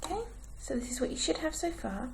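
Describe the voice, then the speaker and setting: A woman with a croaky throat, giving slow, patient step-by-step craft instructions as a tutorial host. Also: sits high, expressive, darkish tone, a little hiss or hum. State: croaky, clearing her throat.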